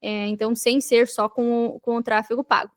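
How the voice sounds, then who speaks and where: A Brazilian woman with a clearly high-pitched voice speaks as a podcast guest. She explains and advises conversationally, stressing her points emphatically and repeating phrases to drive them home. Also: little pitch movement, normal speed. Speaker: podcast guest, digital marketing mentor.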